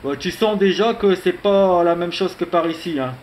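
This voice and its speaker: A man's voice: high-pitched, moderate pace, a little mellow, rough recording. A middle-aged man chatting casually as a radio operator, in a conversational way dotted with little tag words. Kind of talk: casual radio contact chat. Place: radio station in Morocco. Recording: faint background hiss on radio recording.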